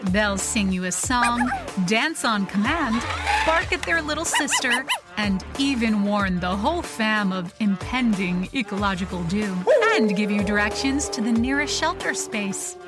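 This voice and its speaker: A woman's voice: mid-range voice, lively intonation, measured pace, over loud music, lightly acted. A woman playing an upbeat, polished advertising announcer in a parody commercial for an audio play. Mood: peppy, selling. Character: upbeat, slick female advertising announcer selling disaster-era products.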